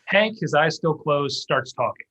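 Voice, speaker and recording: A man's voice: fairly high pitch, animated, unhurried, sharp tone, highs cut off. A man reading scene headings and stage directions as scripted narration for a table read.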